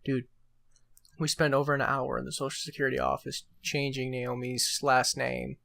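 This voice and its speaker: A man's voice: medium pitch, level tone, normal speed, creaky, sharp tone. A young man co-hosting a podcast in casual chat, given to joking, sarcastic asides. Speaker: podcast co-host.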